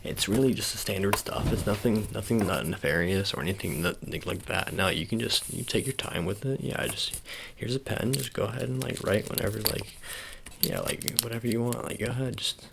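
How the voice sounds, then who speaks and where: Guy doing asmr agent roleplay, real low and crackly, keeps repeating himself, noisy background with clicks. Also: creaky. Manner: halting, repetitive, murmured reassurances. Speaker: ASMR performer. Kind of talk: ASMR roleplay as a sports agent, improvised personal attention.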